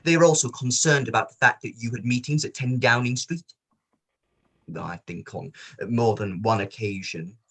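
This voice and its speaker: A man playing a formal, probing barrister who questions witnesses in a measured, courteous way, in a table read of a courtroom play. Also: medium pitch, fairly bright tone, breathy edge.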